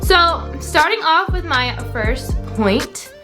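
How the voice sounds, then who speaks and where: A woman's voice: high voice, expressive, slow, over music. A woman of twenty-one talking casually to her viewers as a vlogger, in an upbeat, encouraging, chatty way with emphatic repetition. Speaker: vlogger hosting own channel. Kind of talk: casual chat to camera.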